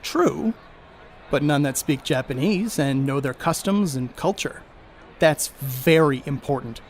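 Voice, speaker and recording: A man's voice: on the high side, lively intonation, measured pace, slight background noise. A man playing a brash, boastful, crude baseball star in an audio drama, with folksy slang. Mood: genial, proud. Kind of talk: audio drama acting, 1930s setting.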